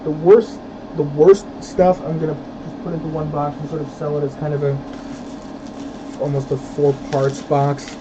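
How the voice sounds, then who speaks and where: A woman with a low, masculine-sounding voice, chatting casually on a livestream and often restarting her phrases. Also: level tone, normal speed, muffled recording, noisy background. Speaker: livestreamer sorting model trains.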